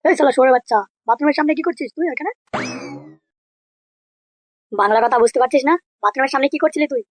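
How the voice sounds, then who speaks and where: Man voicing a shrill, furious cartoon neighbour. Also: fast talker, dry recording. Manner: scolding, threatening, crude. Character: angry, foul-mouthed neighbourhood man protecting his daughter. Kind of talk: comedy cartoon voice acting.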